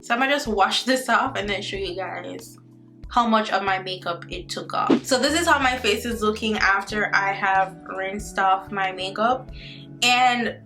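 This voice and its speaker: A woman's voice: medium pitch, a little slow, over music. A young woman in her twenties with a Jamaican accent, chatting upbeat and directly to viewers in a casual skincare vlog, recorded at home on a camera mic. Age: 20s. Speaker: beauty vlogger. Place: indoor room at home, not a bathroom.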